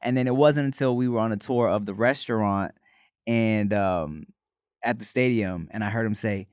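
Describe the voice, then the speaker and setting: Smooth-voiced man telling a story. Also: fairly low pitch, normal speed, no room sound, studio quality. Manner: animated storyteller, swears freely. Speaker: podcast co-host. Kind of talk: casual chat.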